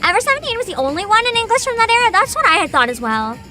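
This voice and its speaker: Bright high-voiced girl streamer, bouncy and giggly, soft music under her. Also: sing-song, racing. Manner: giggly, chatty, reacts to chat, reads descriptions aloud. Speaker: VTuber streamer. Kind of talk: casual livestream chat.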